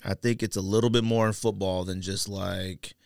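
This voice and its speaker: A man's voice: sits low, steady tone, normal speed, vocal fry, a little mellow, dry recording, clean studio sound. A man co-hosting a casual sports podcast, arguing emphatically and often repeating himself to make a point. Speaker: podcast co-host.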